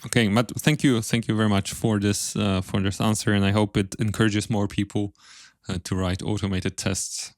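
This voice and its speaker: A man hosting a podcast interview, asking questions in a polite, measured, conversational way. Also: on the low side, little pitch movement, no room sound, clean studio sound. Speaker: podcast host.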